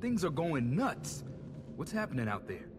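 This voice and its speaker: A man's voice: fairly high pitch, very animated, normal speed, noisy background. A man playing a savvy, self-interested tech operative in a video game, talking in a wry, slangy, casual way. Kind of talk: video game cutscene acting.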